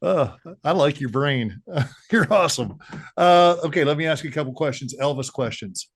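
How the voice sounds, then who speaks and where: A man's voice: a little high, expressive, moderate pace, breathy edge. A man hosting an interview, asking questions in an enthusiastic, encouraging way. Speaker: interviewer host. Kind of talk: casual interview chat.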